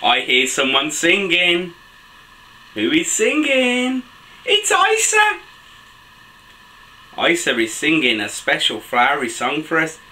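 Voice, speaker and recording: Animated man reading a children's storybook, swooping pitch. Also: measured pace, slight background noise, poor audio. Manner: expressive, sing-song, enthusiastic reading to children. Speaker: dad reading children's books for a video channel. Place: small bedroom with a bed and soft toys.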